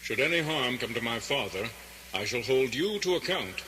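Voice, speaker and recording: An actor playing a clever, defiant outlaw prisoner in a radio drama, sardonic and challenging, with reverb around the voice. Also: male voice, mid-range voice, very animated, moderate pace, pushed and bright. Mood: threatening. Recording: reverberant hall sound, faint noise.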